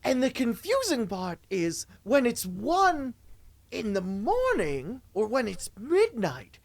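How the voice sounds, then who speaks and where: An actress playing a rambling, muddled fantasy guide in a semi-improvised comic audio drama, chatty and giggly. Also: female voice, fairly high pitch, wildly swooping pitch, takes it slowly, heavily acted.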